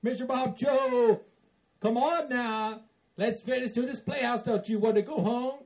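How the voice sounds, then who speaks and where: A man's voice: very high voice, a little slow, darkish tone. A man playing a cheerful, Santa-like boss of the elves who coaxes in a sing-song way. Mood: jolly. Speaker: comedy character.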